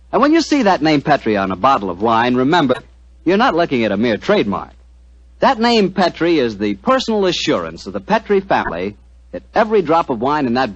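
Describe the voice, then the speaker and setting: An American man working as announcer and sponsor pitchman, speaking in scripted 1940s radio style. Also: dry recording. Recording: dull vintage radio with music.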